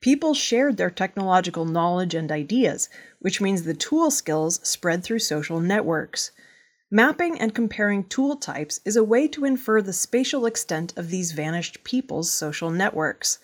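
A woman's voice: on the low side, big pitch swings, normal speed. A woman working as a news reader, narrating scripted news stories.

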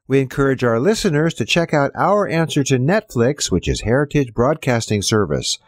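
A man's voice: mid-range voice, darkish tone, no room sound, clean studio sound. A man working as a show announcer, reading scripted announcements and introductions.

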